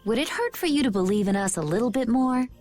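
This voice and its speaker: A woman voicing a composed, gently teasing young woman in a video game, with a calm, softly amused delivery. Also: a little high, quick pace, faint music behind, close to an everyday voice. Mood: sincere.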